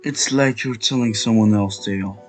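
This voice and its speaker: A man's voice: on the low side, normal speed, soft background music. A man giving casual, off-the-cuff gameplay commentary as a let's player.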